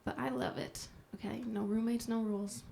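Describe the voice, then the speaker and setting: A woman from Minnesota doing a stand-up set, soft-spoken and deadpan with self-deprecating jokes. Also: on the high side, moderate pace, creaky, noisy background. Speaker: stand-up comedian. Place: comedy club stage before a live audience. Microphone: handheld stage mic.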